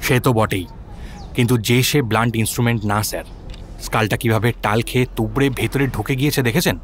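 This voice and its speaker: A man playing a sharp, deferential junior police officer in an audio drama, reporting analytically and mixing in English words. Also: mid-range voice, fairly even intonation, brisk, a little hiss or hum, natural voice. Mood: analytical, assured. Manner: reporting to a superior, peppers speech with English. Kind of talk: detective audio drama acting.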